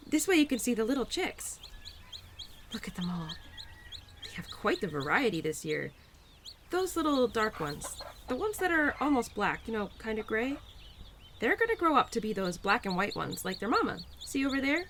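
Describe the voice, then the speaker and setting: A woman voicing a cheerful, playful young spirit of Spring in an audio roleplay, gentle and affectionate with a giggly, easily flustered manner. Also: on the high side, big pitch swings, normal speed, a little hiss or hum. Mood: chatty, fond. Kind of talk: audio roleplay addressed to the listener.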